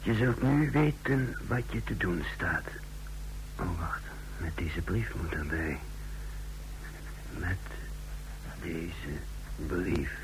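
Diepe, broeierige geheim agent. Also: male voice, sits low, slow, long pauses. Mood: tense, secretive. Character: secret agent on the run, male, scheming, coolly contemptuous. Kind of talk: old Dutch radio play acting, first-person narration. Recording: noisy old radio drama recording.

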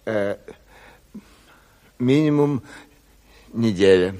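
An actor playing a flustered, long-winded educated health official, hesitant and pleading, in a Soviet radio play. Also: male voice, on the low side, drawn-out words, warm tone. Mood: hesitant, apologetic. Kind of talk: 1970s Soviet radio drama acting. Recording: reverberant hall, old radio play recording.

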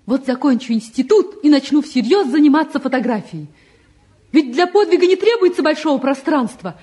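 An actress playing a devoted, wistful young woman in a 1950s Russian stage drama, with a gentle, expressive, self-deprecating way of talking. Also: high-pitched, a little fast. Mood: wistful, tender. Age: young adult.

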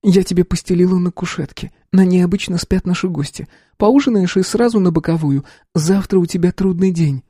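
A man voicing the narrator's young adult sister, who speaks in a high-pitched, emotional way. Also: a little fast, few pauses, mellow, slightly rough, dry recording, studio quality. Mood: caring.

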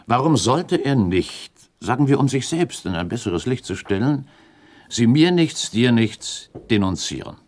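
An actor playing a shrewd, calculating count in a radio drama, speaking in a deliberate, formal and pointed way. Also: male voice, medium pitch, big pitch swings, a little mellow. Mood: insinuating. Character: shrewd, calculating count advising a prince, diplomatic. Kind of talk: German radio drama acting.

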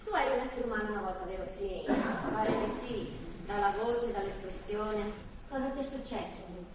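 An actress playing a wounded, sarcastic, foul-mouthed pregnant captive in a stage drama. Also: female voice, medium pitch, normal speed, close to an everyday voice. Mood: accusing. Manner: sarcastic, pleading, profane outbursts. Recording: echoey hall from far off, faint noise.

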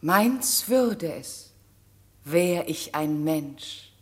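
A slow, low-voiced air spirit. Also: female voice, lively intonation, a little mellow, heavily acted. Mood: sorrowful, pitying. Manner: deliberate, poetic, deferential. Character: gentle, obedient air spirit serving a master. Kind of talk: classic German radio play acting.

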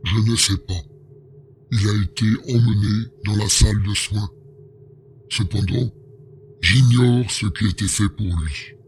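An actor playing a grave male space agent who gives a slow, measured account in an amateur audio drama. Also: fairly low pitch, slight background noise.

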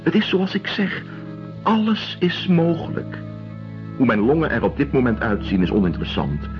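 A man's voice: mid-range voice, wildly swooping pitch, normal speed. A man playing an ailing, idealistic doctor in a radio drama, insistent and dismissive about his illness. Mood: fervent, insistent. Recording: dull radio recording with music behind.

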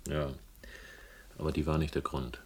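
An actor playing a world-weary, sardonic hero of a radio crime drama, speaking in a dry, laconic way. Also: male voice, very deep voice, flat delivery, moderate pace, breathy edge. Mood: guarded, wry. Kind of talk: German radio crime drama, first-person narration and dialogue. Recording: faint noise, older radio production.

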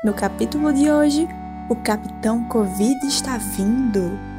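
High-pitched woman announcer over music. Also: measured pace. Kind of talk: scripted title announcement.

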